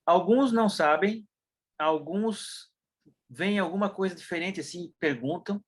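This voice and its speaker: A Brazilian man with a southern Brazilian accent, a guest telling stories casually in an interview, lively and full of fillers. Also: high voice, unhurried, breathy edge. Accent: southern Brazilian Portuguese. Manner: animated storyteller, mimics people, many fillers like né. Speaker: interview guest, business consultant.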